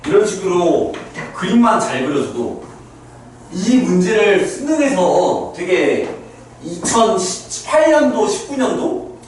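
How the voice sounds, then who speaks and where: A man around thirty teaching math to high school students in a classroom, talking them through each step and checking whether they understood. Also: big pitch swings, takes it slowly, slight background noise. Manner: talks students through steps, asking "understood?" after each point. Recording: very echoey classroom, mic far off.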